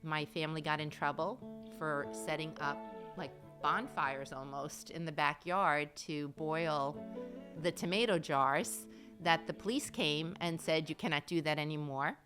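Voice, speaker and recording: Woman with a really low voice telling family stories, music playing under. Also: unhurried. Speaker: family member, interviewee. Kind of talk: recollection in an interview.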